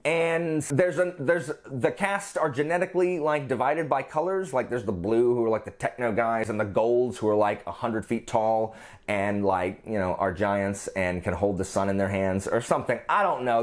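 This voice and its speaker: A man hosting a book channel, talking casually and enthusiastically to his viewers with jokey asides. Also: mid-range voice.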